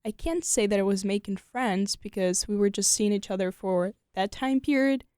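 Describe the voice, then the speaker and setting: A woman's voice: mid-range voice, moderate pace, a little mellow, smooth, dry recording. Ukrainian woman chatting in a dry studio. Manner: chatty, many fillers like "you know" and "like". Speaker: guest. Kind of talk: casual conversational interview.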